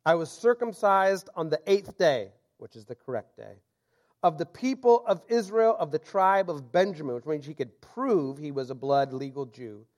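A man's voice: fairly high pitch, expressive, normal speed, darkish tone. A man preaching a sermon, reading scripture aloud and explaining it with self-deprecating asides.

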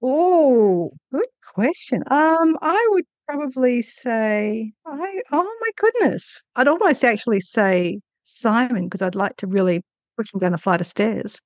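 A woman's voice: a little high, lively intonation, a little slow, a little mellow, no room sound. A woman answering interview questions as an author, conversational, with thoughtful and hesitant phrasing. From Australia.

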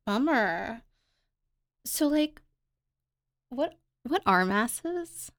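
A woman's voice: on the high side, lively intonation, very slow, a bit bright, dry recording, studio quality, exaggerated voice. An actress playing a guilty young woman inmate who talks in valley-girl slang, full of "like" and "totally". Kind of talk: audio play acting.

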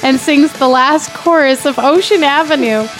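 A woman's voice: high voice, normal speed, soft background music. A woman narrating screenplay stage directions at an informal comedy table read. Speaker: guest reader of stage directions.

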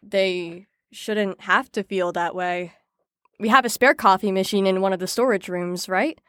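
A woman's voice: mid-range voice, measured pace, fairly bright tone, dry recording, lightly acted. Woman playing an earnest clinician, close and dry. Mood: earnest, persuading. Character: earnest, dutiful female clinical staffer at sinister institution. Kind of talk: audio drama dialogue.

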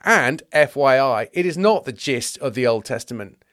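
A man's voice: medium pitch, animated, moderate pace, fairly bright tone, no echo, studio quality, lightly acted. A man playing a disdainful, nitpicking teacher of religious law in a comedy sketch. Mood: scornful. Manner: nitpicking, sarcastic.

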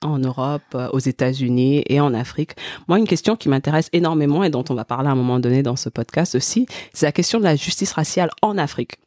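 A woman's voice: low-pitched, fast, dark tone, no echo. A woman co-hosting a conversational podcast, thoughtful and explanatory. She often stops to rephrase her point as she speaks. The sound is close and dry, with no room around it. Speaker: podcast co-host.

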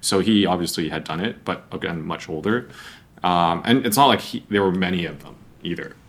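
Very deep-voiced male podcast host. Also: brisk. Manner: conversational, rambling questions with fillers. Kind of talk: podcast interview.

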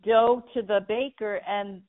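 A woman's voice: medium pitch, fairly even intonation, a little slow. Woman interviewer on a tinny line. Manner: gently prompting, with many short affirmations. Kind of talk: conversational interview.

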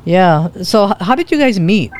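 A man hosting a radio interview show, asking questions in a casual, halting way and often repeating himself. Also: high voice, animated, a little mellow.

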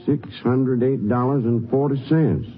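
An actor playing a folksy, questioning Texas sheriff in a 1950s radio drama. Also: male voice, mid-range voice, fairly even intonation, unhurried, close to an everyday voice. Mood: puzzled, speculative. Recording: muffled, hissy vintage radio recording.

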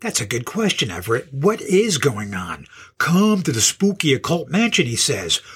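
A man playing an angry young rocker in an audio drama, a fed-up, sarcastic drummer. His voice is very husky and rough, swinging up and down as he confronts his bandmates. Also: medium pitch, wildly swooping pitch, normal speed, fairly bright tone, full character voice. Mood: sarcastic, indignant. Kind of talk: audio horror drama acting.

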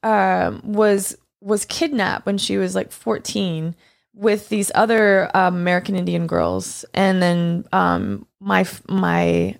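A woman's voice: mid-range voice, slow pace, gravelly. A woman from East Texas chatting casually on a podcast, telling a rambling story with lots of restarts. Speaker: guest co-host.

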